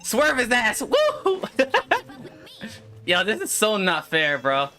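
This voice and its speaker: A man doing casual live gameplay commentary, streaming in an excitable, slangy way with swearing and exclamations. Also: high voice, takes it slowly, soft background music. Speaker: game streamer.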